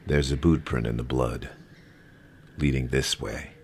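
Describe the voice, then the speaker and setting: An actor playing a grim, terse masked male vigilante with a deep gravelly voice in a dramatic audio roleplay. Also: steady tone, a little mellow, slight background noise. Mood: terse, investigative. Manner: terse, slow, brooding, commanding.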